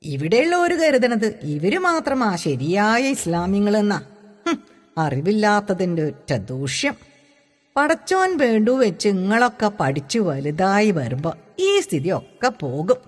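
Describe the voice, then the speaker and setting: A woman's voice: mid-range voice, sing-song, a little slow. A woman reading the part of a fond, opinionated village father, a talkative trader speaking colloquial dialect in a storybook. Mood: opinionated, hopeful. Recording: long hall-like reverb.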